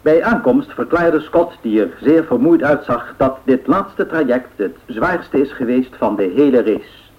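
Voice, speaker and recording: An actor playing a 1930s radio newsreader, reading bulletins in a formal, measured way in a radio drama. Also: male voice, mid-range voice, natural voice. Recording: tinny, narrow-band radio sound.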